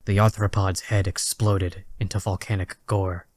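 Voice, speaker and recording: Low, steady male story narrator. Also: dry recording, clean studio sound. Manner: measured, literary storytelling. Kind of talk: scripted dramatic story narration.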